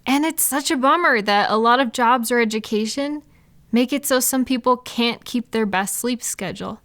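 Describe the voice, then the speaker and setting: A woman playing a supportive, gentle female friend in an intimate, casual comfort roleplay, speaking in a reassuring, validating way. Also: a little high, moderate pace, no room sound, natural voice. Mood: reassuring, affectionate. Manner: reassuring, validating, softly indignant on listener's behalf. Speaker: audio roleplay performer.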